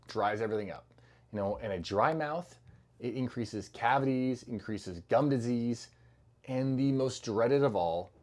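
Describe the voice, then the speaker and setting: A middle-aged man explaining health habits in a relaxed, chatty way. He wanders through his points with plenty of fillers and self-corrections, at an ordinary pitch, sounding like a clinician talking one-on-one with a patient. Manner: loose, conversational, many fillers and restarts. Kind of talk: casual educational talk to camera.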